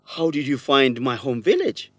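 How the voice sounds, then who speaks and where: An actor playing a modest, reticent young Ethiopian student journalist in an educational radio drama. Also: male voice, medium pitch, big pitch swings, normal speed, a bit bright, no echo. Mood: guarded, modest.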